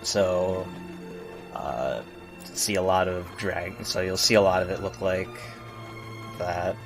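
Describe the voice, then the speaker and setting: Casting: male game streamer, fairly low voice, casual halting explanations, faint music underneath. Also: fairly even intonation. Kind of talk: casual live game commentary.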